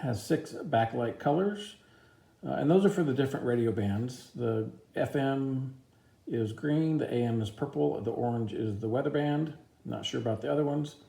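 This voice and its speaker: A man's voice: medium pitch, moderate pace, gravelly, mellow. A man giving a casual, informal product review, explaining features plainly step by step.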